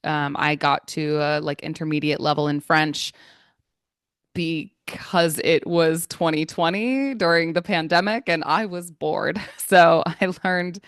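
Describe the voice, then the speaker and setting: American woman, English trainer; low, slow, smooth voice, dry close sound, measured and reflective. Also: steady tone, no echo. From United States. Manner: thoughtful, measured, with frequent fillers. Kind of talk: conversational interview answers. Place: Florida.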